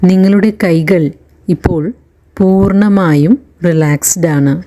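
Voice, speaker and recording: A woman guides a relaxation session in a low, very mellow voice. She speaks extremely slowly and soothingly, leaving long pauses between short phrases, as a meditation instructor does. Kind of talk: slow guided relaxation narration.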